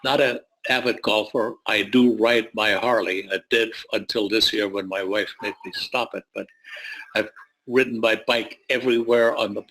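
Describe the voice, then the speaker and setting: A man's voice: lowish voice, steady tone, normal speed, fairly bright tone, low-quality recording. Older man telling his life story. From born in Poland, grew up in the United States. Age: 70s or older. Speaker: guest, retired surgeon and medical advisor. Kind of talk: autobiographical storytelling in an interview.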